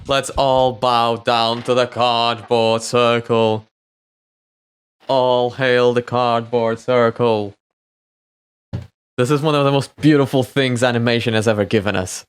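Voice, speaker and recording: Guy reacting to a video, unhurried pace, swears and exclaims a lot. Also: medium pitch, smooth. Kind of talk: casual reaction commentary.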